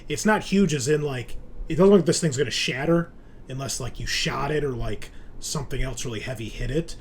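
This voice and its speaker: A man's voice: medium pitch, fairly quick, breathy edge. A man narrating a tabletop horror game as game master in a casual, conversational way.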